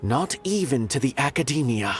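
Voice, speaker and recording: A man's voice: mid-range voice, big pitch swings, normal speed, close to an everyday voice. A man playing a grave, eloquent artist in a video game, speaking formally and theatrically. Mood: solemn, earnest. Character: grave, lofty male artist who gave up fame. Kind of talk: scripted video game character acting. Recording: background music under dialogue.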